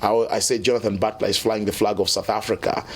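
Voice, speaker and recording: A middle-aged man from Zambia, a musician guest telling his story in a relaxed interview, often saying "you know". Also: on the low side, fast, husky. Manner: easygoing, peppers speech with "you know".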